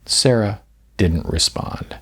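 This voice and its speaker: A man narrating comic fiction as an expressive audiobook reader. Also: on the low side, takes it slowly, husky.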